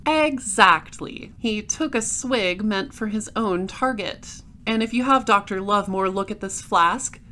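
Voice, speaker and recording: A woman playing a shrewd, dry space patrol detective in an audio drama, explaining things deliberately. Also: medium pitch, sharp tone, a little hiss or hum. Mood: confident, explaining.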